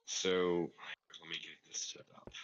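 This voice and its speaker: A man's voice: low voice, monotone, measured pace, muffled recording, slight background noise. A man explaining a game build casually, step by step, thinking aloud as he plays. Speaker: player explaining a farm build. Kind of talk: casual gaming tutorial.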